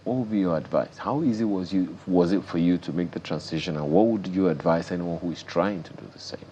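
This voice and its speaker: Male morning TV host, Nigerian accent, low pitch, playful interviewer, some background noise. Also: a little fast, dark tone, highs cut off. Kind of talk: live television interview chat.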